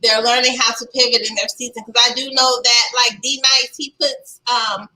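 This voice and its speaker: A woman hosting a live online chat show, talking in an emphatic, lively way. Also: on the high side, normal speed, rough recording. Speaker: talk show host.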